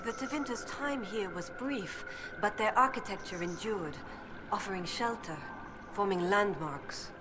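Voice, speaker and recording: A woman's voice: mid-range voice, a little slow, noisy background, lightly acted. An actress playing a young female elven research assistant in a video game, earnest and determined, speaking in a precise, scholarly way. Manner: precise, scholarly, thoughtful delivery.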